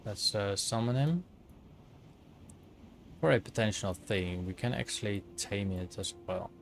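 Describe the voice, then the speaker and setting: Low-voiced Dutch man streaming a game. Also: a little hiss or hum. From Netherlands. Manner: halting, thinks aloud with many fillers and restarts. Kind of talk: casual livestream commentary while gaming.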